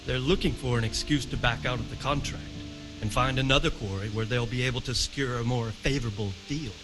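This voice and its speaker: An actor as a troubled medieval lord, measured. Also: male voice, medium pitch, music in the background, lightly acted. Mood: cynical. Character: troubled medieval lord, wary, suspicious of profiteering. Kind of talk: cinematic game dialogue.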